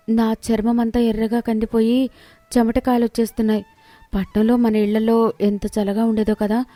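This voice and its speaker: A woman plays a young city wife in a moral story. She is spoiled, stubborn and full of whining complaint, often pitched up, in a dry, close recording. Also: dark tone, no room sound, lightly acted. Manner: complaining, demanding.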